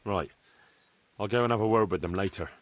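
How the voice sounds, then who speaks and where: An actor playing a young medieval bailiff in a video game, polite and inquisitive, speaking in a conversational way. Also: male voice, on the low side, brisk, fairly bright tone, natural voice. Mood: curious, practical. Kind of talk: video game cutscene dialogue.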